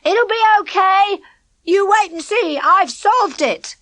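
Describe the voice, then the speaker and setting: A woman's voice: high voice, huge pitch swings, normal speed, bright, forceful tone, no echo, pitched above the performer's own voice, heavily acted. A woman voicing an earnest schoolboy wicket-keeper who talks defensively. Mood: reassuring.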